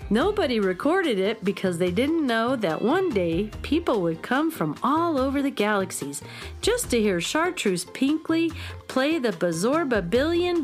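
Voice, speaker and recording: An actress playing an eager young music student and knowing fan, explaining emphatically in a children's radio drama. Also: female voice, a little high.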